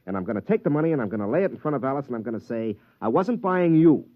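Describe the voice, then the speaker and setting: An actor playing a proud, intense champion golfer under pressure in a 1950s radio drama, with an emphatic, dramatic delivery. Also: male voice, medium pitch, big pitch swings, very fast. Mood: determined. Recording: tinny, narrow old radio recording.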